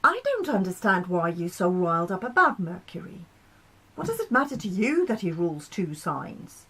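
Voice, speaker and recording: A woman's voice: lowish voice, sing-song, normal speed, a bit bright, breathy edge, exaggerated voice. A woman voicing a curious male sun in a storybook, puzzled and questioning.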